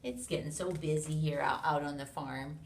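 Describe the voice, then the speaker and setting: An American woman reads a picture book aloud to young children in an expressive way, with sound effects, questions and hushed asides to her listeners. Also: deep voice, normal speed, vocal fry. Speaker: children's read-along reader. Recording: roomy, distant mic with noise.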